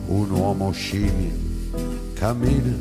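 A man singing a slow, crooning jazz song over guitar. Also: fairly low pitch. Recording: song with loud guitar music.

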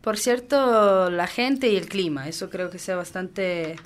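A woman's voice: on the low side, measured pace. A woman from Venice, native Italian speaker with an Italian accent, answering as a radio guest in a thoughtful way. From Venice, Italy. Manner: thoughtful, fillers, some laughter.

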